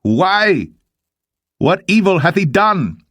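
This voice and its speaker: An exasperated Roman governor, acted out by a man, calls out to a crowd in a dramatised scripture reading. The voice is pushed high and loud, insistent and declamatory, in a very dry recording. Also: sing-song, slow pace, no echo, full character voice. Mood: exasperated protest. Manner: raised, declamatory.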